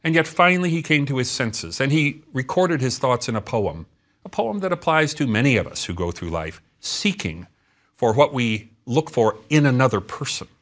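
Middle-aged male lecturer, lively melodic delivery, close lapel sound from a studio set. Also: medium pitch. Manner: expressive, gesturing, storytelling with dramatic pauses. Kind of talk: scripted travel lecture to camera.

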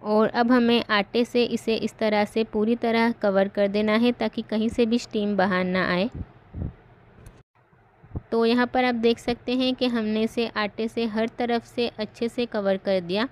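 A woman host giving calm, step-by-step voice-over narration for a home cooking recipe. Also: fairly high pitch, unhurried.

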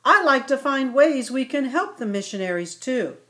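A woman voicing an excited young male ibex kid in a storybook, eager, loud and squealing. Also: sits high, normal speed, pitched above the performer's own voice, exaggerated voice.

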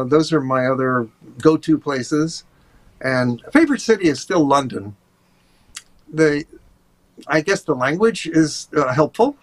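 An older man, a tour guide, chatting over a video call in a relaxed, rambling way with many fillers. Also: medium pitch, rough recording. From USA. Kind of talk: casual interview talk. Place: home office, indoors.

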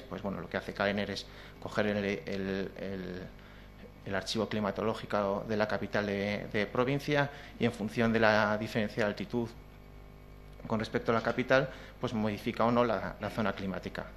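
A man's voice: on the low side, a little hiss or hum. A man with a Spanish accent presenting a technical talk at a conference, hesitant with many fillers and restarts. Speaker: conference speaker. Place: lecture hall with projected slides.

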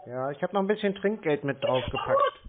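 Male, casual muttering phone talker playing slow, thin phone-band sound with faint noise. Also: on the high side, lively intonation, narrow, tinny audio. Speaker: scambaiter taking a scam call.

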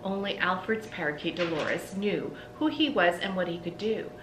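Middle-aged woman, storybook read-aloud, deliberate pauses, echoey room, faint rumble. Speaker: teacher reading aloud to children. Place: indoors in a room with bookshelves. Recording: roomy echo, faint low rumble.

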